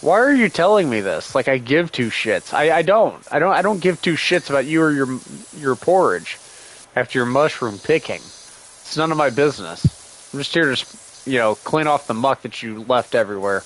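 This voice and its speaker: A man's voice: mid-range voice, big pitch swings, normal speed, fairly bright tone, a little hiss or hum, low-quality recording. A man doing casual livestream game commentary, sarcastically grumbling and talking back to the game's characters, still getting over a cold with a lingering cough. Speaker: gaming streamer.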